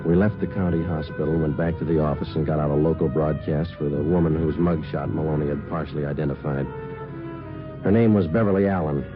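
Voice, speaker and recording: An actor playing a terse, matter-of-fact police sergeant who also narrates, in the clipped style of 1950s radio drama. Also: male voice, very deep voice, steady tone, brisk. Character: terse, businesslike male police sergeant, a detective. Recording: dull vintage radio recording with music.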